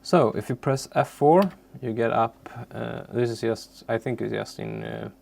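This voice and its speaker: A man who is a non-native English speaker presents an unscripted software tutorial in a halting way, searching for words. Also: mid-range voice, gravelly. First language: not English.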